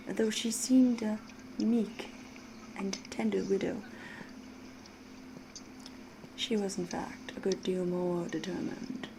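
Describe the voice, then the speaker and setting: Woman playing a soft-spoken, mysterious vampire storyteller, ambient noise. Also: lowish voice. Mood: sly, knowing. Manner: measured, theatrical, knowing, old-fashioned formal diction. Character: mysterious flirtatious female vampire, worldly storyteller with veiled menace. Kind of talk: ASMR roleplay storytelling. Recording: steady ambient noise behind the voice.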